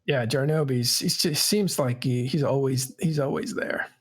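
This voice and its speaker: A man's voice: medium pitch, normal speed, fairly bright tone, slightly rough. A man hosting a casual panel podcast, rambling and correcting himself as he goes. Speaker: podcast host.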